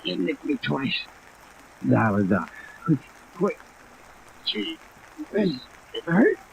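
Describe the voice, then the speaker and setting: An actor playing a crooked insurance adjuster turned blackmailer in a 1950s radio drama, with folksy, wheedling slang. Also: male voice, fairly high pitch, big pitch swings, extremely slow, vocal fry, heavily acted. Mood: wounded, gasping. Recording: noisy old radio, rain sound overlaid.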